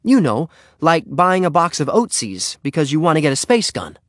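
A man's voice: a little high, big pitch swings, moderate pace, a bit bright, dry recording, studio quality, pitched above the performer's own voice. A man voicing an earnest, eager young schoolboy trying to sound businesslike in a storybook reading. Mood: eager, searching. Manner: hesitant, flustered salesmanship.

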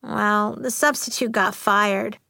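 Woman voicing a hurt, brave schoolgirl, unhurried. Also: mid-range voice, fairly even intonation, vocal fry, sharp tone, no echo, clean studio sound, full character voice. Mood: hurt, subdued. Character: hurt but brave schoolgirl recounting an upsetting memory.

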